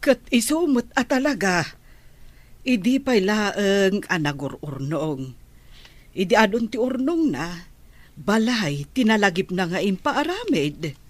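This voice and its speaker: A woman acting a calm villager who passes on family news in an Ilocano-accented radio drama, in a measured, explanatory way. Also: medium pitch, no echo. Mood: matter-of-fact.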